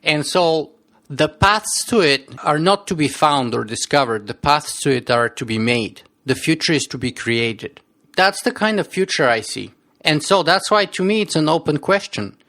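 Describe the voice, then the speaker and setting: A man's voice: a little high, lively intonation, moderate pace, fairly bright tone. A man with a strong Bulgarian accent, a podcast guest telling his story in an earnest interview manner, often repeating words as he restarts.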